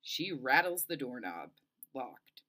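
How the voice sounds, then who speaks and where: Low-pitched, expressive woman reading aloud. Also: slow pace. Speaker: story reader. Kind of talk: expressive read-aloud of a first-person children's novel.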